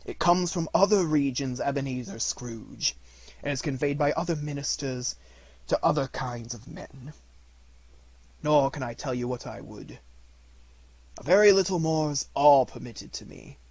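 A man voicing the anguished ghost of a dead miserly businessman in a storybook, lamenting solemnly and slowly, from hushed to loud. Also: a little high, no echo, pitched above the performer's own voice. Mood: grave, weary.

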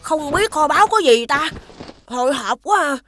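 A curious, excitable little cartoon girl with a high, bright voice. Soft music plays beneath her. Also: moderate pace. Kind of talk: cartoon voice acting.

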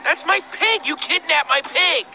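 A man's voice: extremely high pitch, measured pace, over loud music. An actor playing an earnest young male hero in a video game, speaking casually but with urgency. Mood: indignant.

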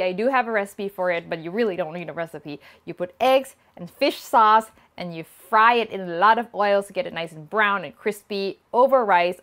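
A woman from Thailand in her thirties chats to camera in her home kitchen. She talks in a lively, friendly way and laughs easily as she answers viewer questions. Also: medium pitch. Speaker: cooking channel host. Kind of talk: casual Q&A vlog. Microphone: small lapel mic.

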